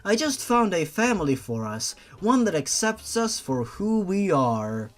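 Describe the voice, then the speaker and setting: A man's voice: high-pitched, very animated, measured pace. A man voicing a fierce, doting, protective older sister in a storybook reading, earnest and solemn. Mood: tender reassurance.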